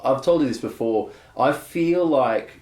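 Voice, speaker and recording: A young adult man, a bass player talking candidly in a casual interview, rambling with many fillers and restarts. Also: lively intonation, measured pace, a little mellow. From Australia. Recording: echoey room.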